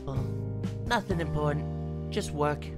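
A woman's voice: low-pitched, unhurried, over loud music. A woman voicing a sassy, defiant young male spider demon in a cartoon dub, with sarcastic, flippant delivery. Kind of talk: cartoon comic dub acting.

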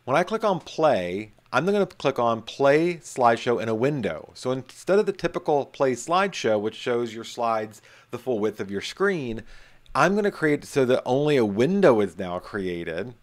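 A man's voice: mid-range voice, very animated, normal speed. A middle-aged man giving a casual, chatty screen-share tutorial, talking from a home office into a webcam and leaning on emphatic repeated words. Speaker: instructor.

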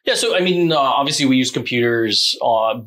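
A man, a police recruiter, calmly explaining training in a studio interview. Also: mid-range voice, brisk. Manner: steady explaining with "you know" and "right". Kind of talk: interview answers.

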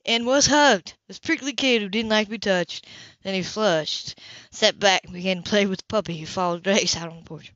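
A woman's voice: medium pitch, moderate pace, a bit bright, no echo. A woman narrating a novel as an amateur reader, occasionally stumbling and rereading phrases. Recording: dull lo-fi home recording.

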